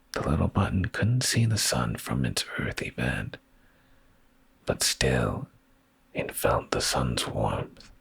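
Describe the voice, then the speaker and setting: An extremely low-voiced man reads a bedtime story as ASMR, heavy with vocal fry. He is soothing and intimate, and his hushed delivery is very breathy, often slipping into a whisper. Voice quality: very deep, breathy, gravelly. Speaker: ASMR streamer reading bedtime stories.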